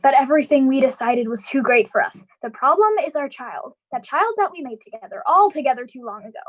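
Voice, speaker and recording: A woman playing a worried, gentle wife and mother in a live radio drama, careful and pleading. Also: high voice, lively intonation, brisk. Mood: pleading, placating. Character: worried, gentle mother and wife, anxious about her daughter.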